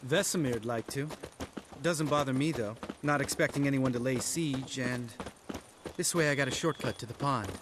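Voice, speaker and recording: A man's voice: medium pitch, normal speed, background noise, close to an everyday voice. An actor playing a sardonic, grudging male warrior in a fantasy game, with a dry, offhand, sarcastic delivery. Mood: wry, offhand. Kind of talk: video game acting.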